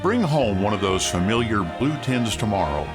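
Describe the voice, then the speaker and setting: A man's voice: on the low side, expressive, normal speed. A man working as a vintage radio announcer, reading scripted narration and promotion. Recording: vintage radio broadcast with music behind.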